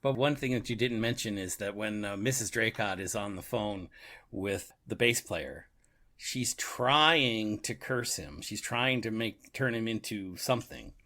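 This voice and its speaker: A man's voice: fairly low pitch, measured pace, bright, forceful tone. A man co-hosting a podcast in casual discussion, chuckling and agreeing as he adds his commentary. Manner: reacts with chuckles and brief agreement. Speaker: podcast co-host giving commentary.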